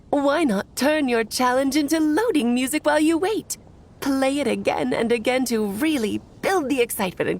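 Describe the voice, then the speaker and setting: A woman's voice: sits high, gravelly, a little hiss or hum, heavily acted. A woman voicing a breezy, theatrical witch in a video game, smugly teasing in her delivery. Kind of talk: video game cutscene voice acting.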